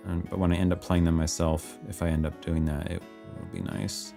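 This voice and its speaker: A man in his thirties talks in an easygoing, laid-back way, thinking out loud and laughing while he composes. His voice sits very low with a gravelly edge. Quiet music plays underneath. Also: brisk. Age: 30s. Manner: relaxed, laughing, thinking aloud while working. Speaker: livestream host composing music. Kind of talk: casual livestream chat, reading viewer comments. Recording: faint music playing behind, keyboard typing.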